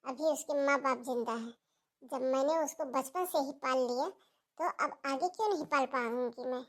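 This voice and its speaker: Woman acting a high-voiced stern protective mother. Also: normal speed, natural voice. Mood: firm, insistent. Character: stern, protective middle-aged mother, blunt with son-in-law. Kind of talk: Hindi cartoon family drama dialogue.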